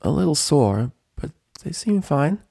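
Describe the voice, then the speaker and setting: A man's voice: on the high side, very animated, unhurried, vocal fry, warm tone, voice pushed up, full character voice. A man voicing a brash, proud, grumbling young female pony in a blunt manner. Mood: relieved.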